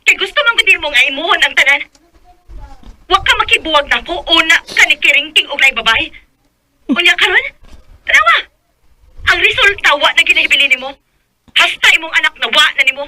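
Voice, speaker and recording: An actress playing a vindictive young ex-lover in a radio drama, cold and mocking. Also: female voice, very high voice, lively intonation, a little fast, pauses often, background noise. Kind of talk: Bisaya radio drama acting.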